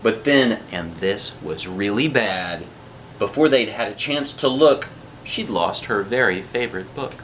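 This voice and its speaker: A man's voice: on the low side, expressive, measured pace, fairly bright tone, a little hiss or hum. A man reading a picture book aloud as a dad would, with dramatic rhythmic phrasing and casual asides to children.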